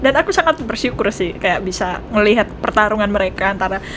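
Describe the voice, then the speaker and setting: A woman with an Indonesian accent reacting casually as she streams a game, excitable and switching between languages. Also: mid-range voice, quick pace. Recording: noise behind the voice.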